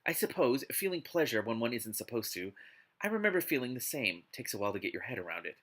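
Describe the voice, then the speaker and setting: A man hosting a live read-aloud of a book, mixing narration with casual, exasperated and expressive commentary. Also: medium pitch, quick pace. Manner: exasperated, expressive asides while reading.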